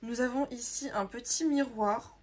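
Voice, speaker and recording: A young woman with a sore throat and a hoarse voice, chatting casually to viewers as she sorts her makeup, rambling with plenty of fillers. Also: fairly high pitch, moderate pace, background noise. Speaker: makeup artist vlogger. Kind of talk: casual sorting vlog, talking to viewers.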